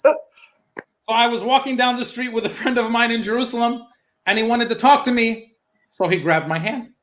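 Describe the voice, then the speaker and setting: A man's voice: extremely high pitch, fairly bright tone. A young adult man from the United States doing a stand-up comedy set over a live stream, telling a story and acting out the voices of the people in it. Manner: storytelling, acts out his mom's and friend's lines in quick back-and-forth.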